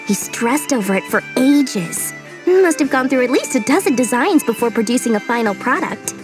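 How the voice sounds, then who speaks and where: A cheeky little merchant girl from a video game, shrewd and fond of money. Her voice is high and swings widely in pitch, with a sly theatrical lilt, and music plays behind it. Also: animated, normal speed. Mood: explaining.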